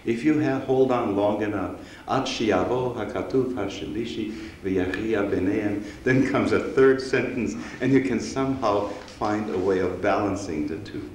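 A man's voice: lowish voice, a little mellow. Older male rabbi, Austrian-raised, reflective lecturer; roomy synagogue hall. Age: 60s. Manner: measured, reflective, unhurried storytelling. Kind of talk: public lecture. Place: synagogue hall, seated on stage before an audience. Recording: roomy hall recording with faint hiss.

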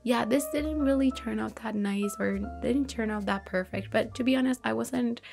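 A woman narrating a voiceover about her journaling, chatty and self-reflective, her voice going slightly hoarse. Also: a little high, moderate pace. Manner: relaxed, chatty, self-reflective, light laughs. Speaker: bullet journal creator. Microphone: voice-over recorded separately. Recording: soft background music.

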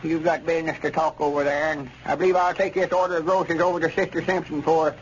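An actor playing a chatty, neighborly old grandpappy with a rural Southern accent, in old radio comedy style. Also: male voice, a little high, flat delivery, fast, natural voice. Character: high-voiced, chatty old country grandpappy, neighborly and nosy. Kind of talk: 1940s radio comedy acting. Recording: dull, noisy old radio recording.

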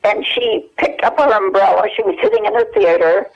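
An older woman telling anecdotes as an interview guest, in a halting, conversational way over a telephone. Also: medium pitch, very animated, gravelly. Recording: dull telephone line.

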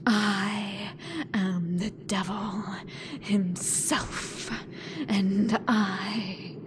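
A British woman voicing a hateful sentient AI god in a breathy, deliberate whisper for an ASMR horror roleplay. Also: mid-range voice, level tone, background noise. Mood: gloating contempt. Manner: slow, deliberate, menacing, theatrical. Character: hateful sentient female AI god gloating over last human.

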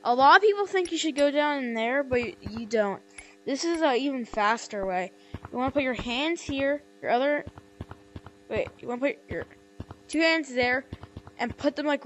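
A woman casually walking through a VR game tutorial on a headset mic, rambling and correcting herself, with sudden excited outbursts. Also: high-pitched, slight background noise, poor audio. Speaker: gameplay tutorial maker. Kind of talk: casual VR gameplay walkthrough.

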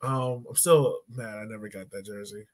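A man's voice: fairly low pitch, fairly even intonation, normal speed. A man in his thirties with an American accent, hosting a casual sports chat in a jokey, opinionated way. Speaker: podcast host. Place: room at home. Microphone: video call.

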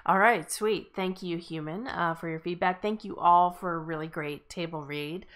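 A woman's voice: lowish voice, moderate pace, fairly bright tone. A woman casually hosting a live online stream, addressing the participants and those watching. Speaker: host of an online table read.

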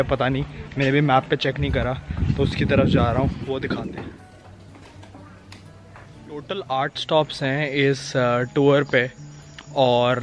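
A young man from India in his twenties, chatting candidly in a casual travel vlog, thinking aloud with hesitations, filmed on a handheld camera outdoors. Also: medium pitch. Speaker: travel vlogger. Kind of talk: casual travel vlog, Hindi-English mix. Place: outdoors on a boat and paths among hills. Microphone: handheld camera at arm's length. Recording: background music over outdoor sound.

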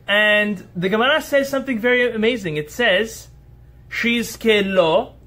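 A man with a notably high voice teaching as a rabbi before a small live group. He speaks earnestly and emphatically, building his points through rhetorical questions. He answers listeners' remarks on the fly. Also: measured pace, sharp tone.

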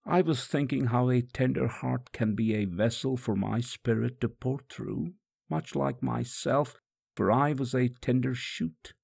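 A man voicing a sorrowful, tender condemned holy man, telling his suffering in a grave, reflective storybook monologue with a gravelly, husky voice. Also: mid-range voice, unhurried, darkish tone, heavily acted. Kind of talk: solemn first-person dramatic monologue.

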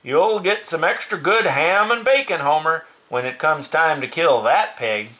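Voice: mid-range voice, unhurried, sharp tone, pitched above the performer's own voice. A storybook narrator voicing a hearty, matter-of-fact farmer father. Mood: admiring, frank.

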